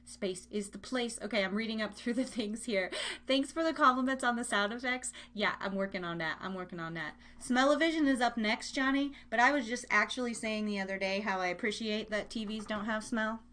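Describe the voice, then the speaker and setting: A woman's voice: fairly high pitch, brisk, fairly bright tone. A woman hosting a live online radio show, chatting casually with listeners in a giggly, rambling, playful way, often repeating phrases.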